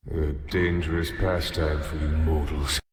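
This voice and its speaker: An actor playing Death in a video game, a haughty, impatient immortal master with pompous, imperious speech. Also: male voice, rumbling low, little pitch movement, a little slow, roomy sound, in a large hall, noisy background. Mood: impatient disdain. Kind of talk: dramatic game voice acting.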